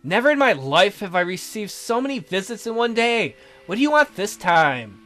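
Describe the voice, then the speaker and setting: A man acts out a resentful young prisoner stuck in a boy's body. The voice is pitched high and swings widely as it accuses and complains in a theatrical, sarcastic way, with game music behind it. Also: very animated, moderate pace, bright, forceful tone, full character voice. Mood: weary, curt. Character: bitter imprisoned youth stuck in a child's body, sarcastic, resentful. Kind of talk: visual novel character read-aloud.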